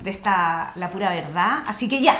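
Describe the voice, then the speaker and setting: A woman's voice: fairly low pitch, animated, moderate pace, a bit bright. A woman with a Chilean accent hosting a casual chat, informal and playful as she asks questions. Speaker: podcast host. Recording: echoey room, clear background noise.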